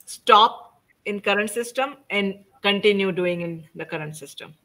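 A woman's voice: medium pitch, normal speed, sharp tone. A middle-aged woman informally hosting a panel discussion on a video call, putting questions to the panelists and prompting them. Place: indoors at home, on a video call.

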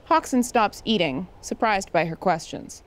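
A woman's voice: medium pitch, expressive, measured pace. A woman reading scripted narration and stage directions for an audio drama.

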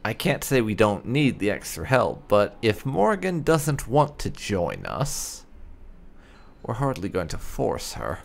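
A man gives casual playthrough commentary in a lowish, creaky voice. His pitch swings very widely as he reacts and plays along. Faint game ambience sits behind him. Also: wildly swooping pitch, a little slow. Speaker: gaming host. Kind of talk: roleplay playthrough commentary, reading choices aloud.

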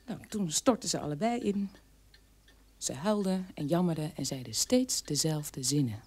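An actress playing a bitter adult daughter of a war criminal, recounting her childhood in an emphatic, halting radio play monologue. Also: low-pitched, expressive, darkish tone, breathy edge, exaggerated voice. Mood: insistent, bitter.

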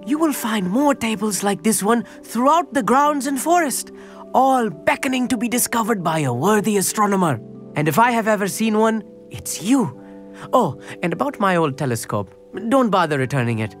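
Bookish teenage boy, pompous, wordy, enthusiastic, swooping pitch, video game acting, music underneath. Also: sing-song, normal speed. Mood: grand, delighted. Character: eager, self-important teenage boy astronomer and bookworm. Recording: music behind the voice.

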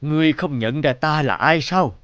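A man's voice: fairly high pitch, moderate pace, fairly bright tone, dull audio, natural voice. A man voicing an old, trusting king in a fairy tale cartoon, regal and dramatic in manner. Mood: solemn. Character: old, trusting king, doting yet quick to anger.

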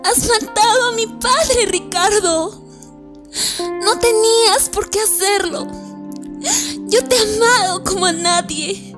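A woman as a very high-pitched, anguished young noblewoman. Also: slow, long pauses, over music. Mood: anguished fury. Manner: melodramatic, emotional. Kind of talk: historical audio drama.

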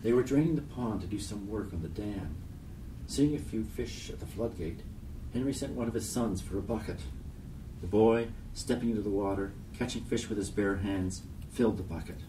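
Deep, dark-voiced man reading poetry. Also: moderate pace. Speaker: poetry reader. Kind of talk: literary poem reading. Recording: distant mic, faint background hiss.

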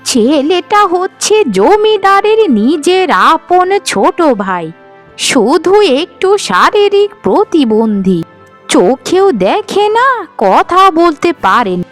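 A woman voicing a sly old great-aunt matchmaker in a cartoon, cajoling in a drawn-out sing-song. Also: extremely high pitch, big pitch swings, soft background music, full character voice. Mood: reassuring. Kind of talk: melodramatic Bengali cartoon dialogue.

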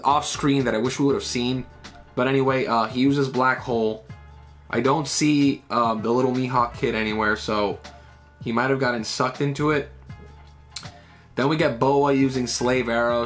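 A man's voice: medium pitch, fairly bright tone. A man in his thirties talking through a comic chapter in a loose, casual way, with many fillers and "right?" tags, recorded indoors at home on a webcam setup. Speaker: manga reviewer. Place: small room at home, indoors.

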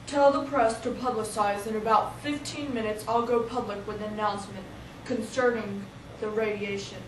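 A woman's voice: medium pitch, steady tone, normal speed, close to an everyday voice. A young teenage girl playing a calculating male Soviet leader in an amateur school drama. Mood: cool, calculating. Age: young teen. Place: small library office. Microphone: far camera. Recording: echoey room, distant camera mic, noise.

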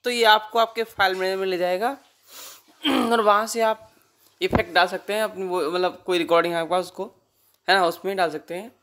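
A man's voice: high voice, steady tone, brisk, pushed and bright. An Indian man with a sore throat, giving a casual app tutorial as the host.

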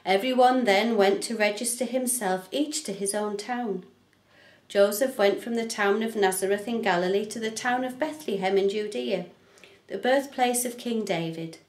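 Middle aged lady minister reading bible out loud, measured delivery, normal pitch, echoey living room sound. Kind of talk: scripture reading for a church service. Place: small living room, indoors. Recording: roomy, echoing home recording.

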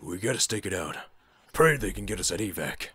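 A man voicing a gruff, bitter marine in an anime war fan dub, urgent and forceful in battle. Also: deep voice, animated, a little fast, full character voice. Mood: grim, bitter.

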